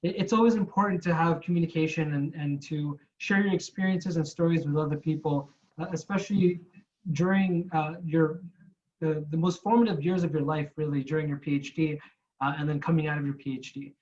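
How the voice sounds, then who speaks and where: Candid young man, slightly high voice. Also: steady tone, brisk, a little mellow, muffled recording. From Canada. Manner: frank, many restarts and repeated words. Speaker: guest speaker. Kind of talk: informal talk with slides.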